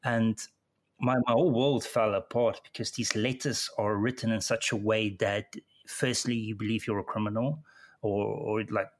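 A middle-aged man with a South African accent, telling his own story in an interview, halting and often repeating words as he restarts. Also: on the low side, fairly even intonation. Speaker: interview guest. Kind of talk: personal account in conversation.

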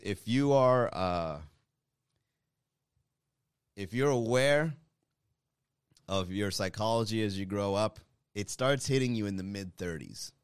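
Man, 30s, comedian chatting to his audience, smooth dry low voice. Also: no echo. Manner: rambling, self-deprecating, chatty. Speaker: comedian talking to viewers. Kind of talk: casual monologue to an online audience.

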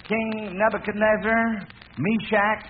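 High-voiced folksy old-time radio quiz man. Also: little pitch movement, slow. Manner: folksy, encouraging children, repeats their answers. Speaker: quiz host. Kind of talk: 1940s radio quiz hosting with children. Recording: tinny, hissy old radio broadcast.

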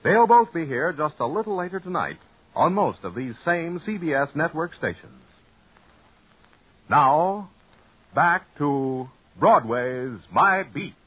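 A man's voice: fairly high pitch, very animated, slow pace, slight background noise. A man making station announcements in a polished, promotional announcer style. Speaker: radio announcer. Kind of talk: 1950s network radio announcement. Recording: dull old radio recording.

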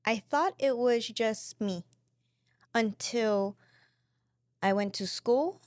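A woman in her twenties with a mixed Filipino and Pacific islander accent, telling her story as a podcast guest in a lively, chatty way. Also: fairly high pitch, slow pace, no room sound, clean studio sound. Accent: Pacific islander and Filipino mix. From Saipan and Guam. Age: 20s. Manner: chatty, animated storytelling with acted-out quotes. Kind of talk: casual interview chat.

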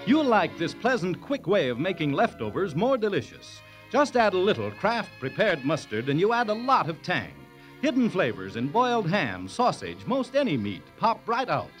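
A man's voice: high-pitched, big pitch swings, normal speed, soft background music. A man reading a radio commercial in an upbeat 1940s style. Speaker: commercial announcer.

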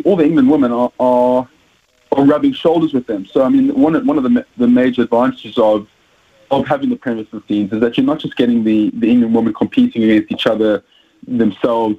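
Man, South African accent, husky voice, phone-quality audio, hesitant, repeats himself. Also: mid-range voice. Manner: hesitant, repeats words while thinking. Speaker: rugby journalist guest. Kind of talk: radio interview answers. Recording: tinny phone line.

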